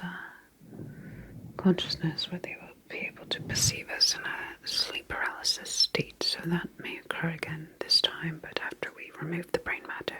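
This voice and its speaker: Slow whispering sinister woman scientist, acted in ASMR. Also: on the low side, a bit bright, poor audio. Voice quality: breathy whisper. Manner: slow, clinical, detached, measured. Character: calm sinister female sleep clinic scientist experimenting on patient. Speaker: ASMR roleplay performer. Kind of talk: whispered ASMR sci-fi horror roleplay.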